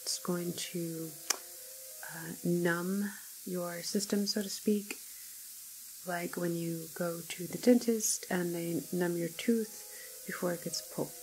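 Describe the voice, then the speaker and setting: A woman playing a calm, clinical doctor in an ASMR roleplay, soft-spoken and sometimes whispering, explaining things in a hesitant, measured way. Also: lowish voice, a little hiss or hum, rough recording. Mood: concerned, clinical. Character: calm, clinical woman doctor at an alien-virus quarantine facility.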